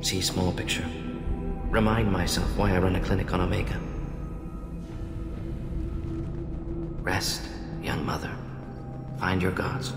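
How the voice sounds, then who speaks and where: A man's voice: sits low, steady tone, moderate pace. A male actor playing a proud, conflicted alien scientist in a video game, speaking in clipped, telegraphic phrases with small words dropped. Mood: grave, mournful. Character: fast-thinking alien scientist doctor, proud, conflicted, defensive. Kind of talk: dramatic video game dialogue. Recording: echoey hall reverb, music behind.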